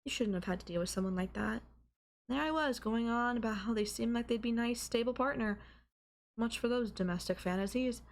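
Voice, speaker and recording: A woman's voice: fairly high pitch, a little fast, close to an everyday voice. Coy, teasing young girlfriend played by a woman. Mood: sympathetic. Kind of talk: intimate anime-style audio roleplay.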